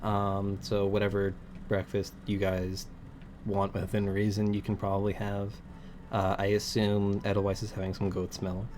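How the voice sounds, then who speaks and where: A man's voice: lowish voice, monotone, normal speed, a little mellow, slight background noise. A man running a tabletop game as game master, easygoing and laughing often.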